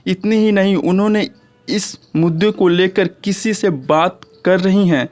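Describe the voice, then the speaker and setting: A man's voice: high voice, measured pace, a little mellow. A man with an Indian accent hosting an online channel, giving informal gossip news commentary and addressing viewers as friends in a repetitive way. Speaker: YouTube channel host.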